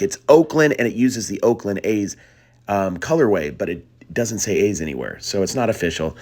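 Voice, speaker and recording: A man with a low, slightly worn voice narrates his thrift finds in a relaxed, chatty voiceover. He sounds tired late at night, wandering through his thoughts and calling the viewers guys. It is recorded close to the mic. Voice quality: deeper voice than usual. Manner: chatty, trails off and restarts, addresses viewers as guys. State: tired, voice a bit gone. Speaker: thrift reseller vlogger.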